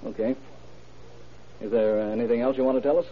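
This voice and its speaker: Actor as a composed detective, muffled old radio. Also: male voice, mid-range voice, fairly even intonation, quick pace, close to an everyday voice. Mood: calm, businesslike. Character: composed, businesslike male police detective. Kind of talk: old-time radio crime drama acting. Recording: muffled, noisy vintage radio recording.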